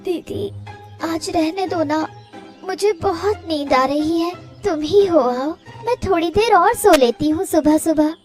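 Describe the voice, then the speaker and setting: A woman acts out a young cartoon co-wife, childlike and devoted. Her voice sits very high and moves at a slow pace. She sounds caring and anxious, over faint background music. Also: a little mellow. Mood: sleepy. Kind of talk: Hindi cartoon story dialogue.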